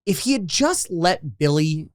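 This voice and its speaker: A man's voice: a little high, unhurried. A man co-hosting a podcast in casual comedic chat, often acting out imagined lines as he talks. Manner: animated, acts out imagined dialogue. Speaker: podcast co-host.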